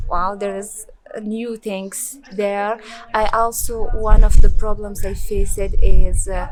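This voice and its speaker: A woman's voice: medium pitch, rough recording. A woman in her twenties from rural Morocco, a Berber speaker with a Moroccan accent, answers interview questions in hesitant English with many fillers and restarts. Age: 20s. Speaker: interviewee and volunteer.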